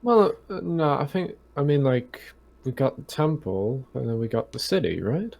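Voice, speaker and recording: A man's voice: medium pitch, sing-song, moderate pace, gravelly, a little mellow. A man chatting casually as a player in a tabletop game, tentative and often trailing off.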